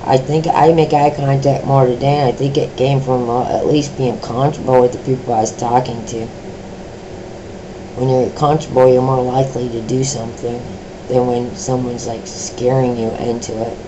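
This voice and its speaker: A middle-aged woman with a deep voice, sharing her own experiences in a personal vlog, speaking slowly with many pauses, ums and restarts. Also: steady tone, noisy background. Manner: slow, halting talk with many fillers and restarts.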